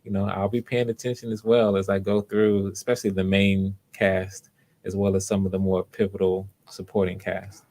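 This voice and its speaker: Man, fairly low voice, little pitch movement, casual livestream host, unhurried, explanatory. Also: little pitch movement, a little mellow. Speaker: host and director of live online auditions. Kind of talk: casual livestream talk to viewers.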